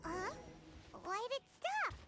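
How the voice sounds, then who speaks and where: Tiny childlike fairy companion for a game. Piercingly high, excitable, animated delivery over clear music. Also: female voice, slow, exaggerated voice. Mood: eager. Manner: squeaky, exclamatory, eager. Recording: music behind the voice.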